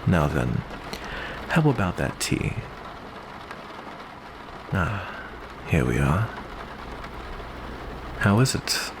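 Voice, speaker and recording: Man playing a low, unhurried vampire gentleman. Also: heavily acted. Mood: gentle, courteous. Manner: unhurried, genteel, uses endearments. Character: courteous, smooth male vampire host with hidden predatory menace. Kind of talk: ASMR roleplay, soft close monologue. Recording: clear steady background noise.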